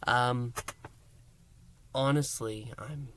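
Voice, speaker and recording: A man hosting a review, recapping a story in a theatrical, emphatic way with dramatic pauses and hushed words. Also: mid-range voice, steady tone, a little hiss or hum. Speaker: podcast review host.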